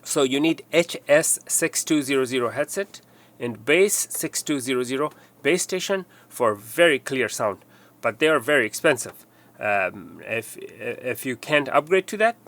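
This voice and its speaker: A man's voice: mid-range voice, a bit bright. A man presenting an unscripted technical tutorial, explaining step by step in a somewhat hesitant way.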